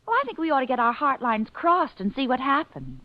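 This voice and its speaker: Sassy high-voiced American gal Friday. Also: female voice, big pitch swings, fairly quick. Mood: flirty. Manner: arch, teasing, coy. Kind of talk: 1940s radio drama acting. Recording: dull 1940s radio recording.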